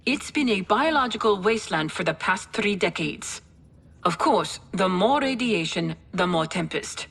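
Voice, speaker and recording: An actress playing a dry, wry female military adviser with a husky voice, briefing in a matter-of-fact way in a game cutscene. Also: mid-range voice, expressive, unhurried, fairly bright tone, full character voice. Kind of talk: video game cutscene acting.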